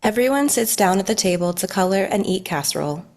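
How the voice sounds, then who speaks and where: A woman's voice: mid-range voice, expressive, fast talker, a little mellow. Woman reading narration. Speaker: narrator at a table read. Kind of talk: scripted narration read aloud.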